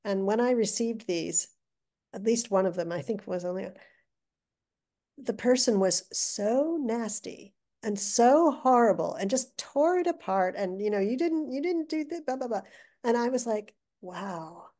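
An older woman, a guest author, telling stories in a chatty, animated way with restarts, heard over a video call. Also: on the high side, unhurried. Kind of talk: casual interview chat. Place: living room with brick wall. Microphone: video call webcam.

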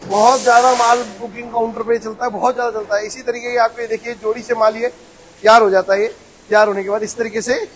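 Man, factory owner, high and monotone sales patter, noisy shop floor. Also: a little fast. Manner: eager salesman, keeps inviting and promising quality. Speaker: footwear factory owner giving a tour. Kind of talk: sales pitch factory tour. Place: footwear factory floor, indoors. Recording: noisy factory machinery behind.

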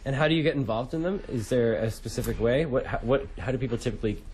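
A young man hosts a radio interview in a loose, casual style. His voice is mid-pitched, and he often searches for his phrasing as he introduces guests and asks questions. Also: fast, a little mellow, a little hiss or hum. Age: young adult. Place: radio studio.

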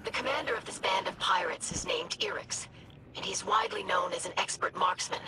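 A woman voicing a polite, eager young alien scholar in a video game, breathy, formal and earnest. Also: mid-range voice, a little fast, noisy background, close to an everyday voice. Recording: game ambience over the voice.

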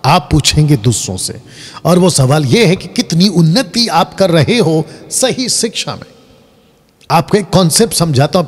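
Husky man preaching in a reverberant space. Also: on the high side, a little mellow. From India. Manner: conversational preaching, mixing Hindi with English words; rhetorical questions. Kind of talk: online sermon.

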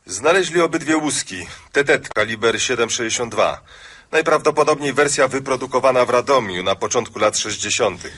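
Terse forensics guy, raspy mid-pitched voice, dry and clinical, radio drama. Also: a little fast, a bit bright. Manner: clinical, clipped. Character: terse, dry male forensic officer reporting findings.

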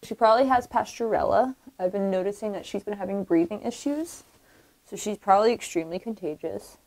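A young woman talks casually and bluntly to the camera while she handles a rescued rabbit. Her voice sits in the middle of the usual range, and she swears freely. Between explanations, she drops into soft cooing. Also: medium pitch, brisk, dry recording, poor audio. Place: outdoors by a house, then indoors in a small room.

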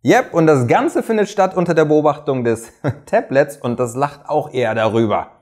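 A man's voice: fairly high pitch, lively intonation, normal speed, very noisy. A man in his thirties casually commenting on a reality show in an ironic, chatty way, recorded on a lapel mic in a small studio room. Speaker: reaction video host.

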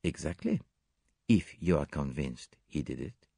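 A man voicing a calm, shrewd male detective in a storybook reading, formal, measured and gently insistent. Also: mid-range voice, dry recording, studio quality, voice pushed up. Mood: mild, probing. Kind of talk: audiobook character dialogue.

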